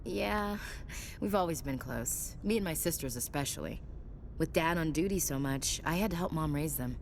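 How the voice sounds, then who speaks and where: A woman's voice: low-pitched, lively intonation, normal speed, slight background noise. A woman playing a tough, candid soldier and protective big sister in a video game, frank and conversational. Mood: fond, open. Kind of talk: naturalistic video game dialogue acting.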